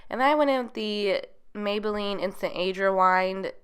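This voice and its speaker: A woman's voice: medium pitch, moderate pace, smooth. A young woman narrating a makeup tutorial in a chatty, rambling way, her voice a little nasal as she gets over a cold. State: getting over being sick. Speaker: beauty vlogger.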